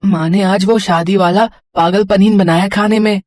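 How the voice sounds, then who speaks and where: A woman's voice: mid-range voice, fairly even intonation, normal speed, darkish tone, no echo, natural voice. Woman as small eager boy for cartoon tale; childlike, pleading, dry studio sound. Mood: eager, excited. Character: eager, innocent little village boy. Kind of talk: cartoon story voice acting.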